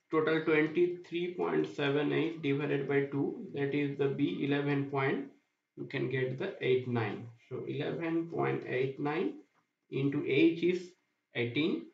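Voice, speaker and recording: Slow man explaining weld math. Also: fairly high pitch, creaky. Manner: slow, repetitive, asks and answers own questions. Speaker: welding inspection instructor. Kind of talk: whiteboard math tutorial. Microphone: voice-over to screen drawing. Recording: roomy, dull lo-fi voice-over.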